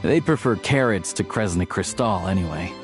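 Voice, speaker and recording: Young hunter in a fantasy game: lowish pitch, casual, wry and friendly, light music behind. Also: male voice, animated, normal speed. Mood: amused, wry. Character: easygoing, friendly young male hunter in a snowy land. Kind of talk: video game voice acting.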